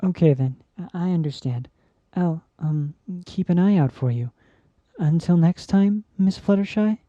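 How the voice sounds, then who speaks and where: A woman voicing a shy, gentle young male pegasus pony who hesitates and stammers in a fan-made audio story. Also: lowish voice, a little slow, dry recording, lo-fi sound, close to an everyday voice. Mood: shy, nervous. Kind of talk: fan fiction audio drama.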